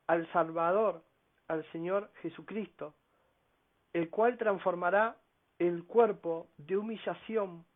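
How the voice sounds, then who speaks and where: A man with a high voice preaches slowly and earnestly, checking in with his listeners through little questioning tags. His measured delivery comes through a narrow, phone-like line. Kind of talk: radio sermon.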